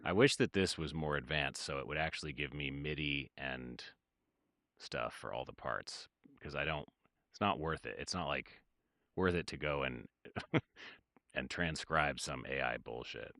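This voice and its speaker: A man in his thirties with a very low voice chatting casually on a livestream from his home studio, rambling and hesitant, with many fillers and trailing-off sentences. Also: a little slow, creaky, bright, forceful tone, dry recording. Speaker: livestreaming music producer. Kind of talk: casual livestream chat while working.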